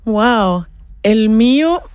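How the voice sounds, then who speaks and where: A woman's voice: on the high side, animated, drawn-out words, darkish tone, smooth, dry recording, clean studio sound. A woman from Cuba with a Cuban accent, giving casual, slightly hesitant interview answers as a musician. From Holguín, Cuba. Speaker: musician, singer, interview guest.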